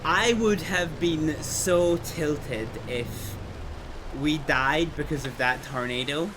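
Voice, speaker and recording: A man streaming a game, hoarse and gravelly from a cold, exclaiming and swearing excitedly as he plays. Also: a little high, takes it slowly. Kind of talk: live gameplay commentary. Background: storm chase game sounds, wind and vehicle.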